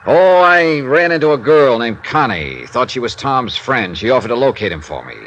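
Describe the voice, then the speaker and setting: An actor playing a tough but sympathetic insurance investigator in a 1950s radio detective drama, with an American accent and a clipped, wry delivery. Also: male voice, medium pitch, expressive, normal speed, a bit bright, highs cut off, natural voice. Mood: weary, sympathetic. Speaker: lead actor and narrator. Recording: hissy vintage radio recording.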